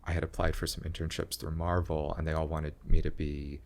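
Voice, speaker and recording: A young man talking slowly in a low voice with long pauses, chatting as a guest about his art and career. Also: flat delivery. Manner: slow, low-voiced, pausing talk. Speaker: comedian and graphic design teacher, guest. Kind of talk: casual interview chat.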